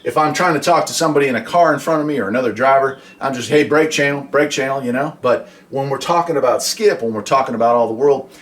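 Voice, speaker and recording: American guy, folksy hobbyist host, mid pitched voice, chatty explainer full of rhetorical questions. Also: quick pace, few pauses, a bit bright. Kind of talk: casual explainer vlog.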